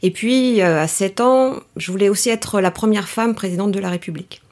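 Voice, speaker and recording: A middle-aged woman, a coach, answering interview questions in a calm, measured way with frequent hesitations. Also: medium pitch. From France. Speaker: coach, guest. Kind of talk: podcast interview.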